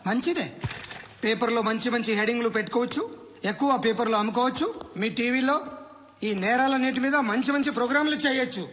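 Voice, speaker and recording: A man's voice: extremely high pitch, fairly even intonation, quick pace, fairly bright tone. A man playing a sarcastic police chief in a film, holding forth to reporters in a declamatory way. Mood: sardonic. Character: sardonic male police chief lecturing reporters. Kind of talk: film dialogue as press briefing. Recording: reverberant hall sound.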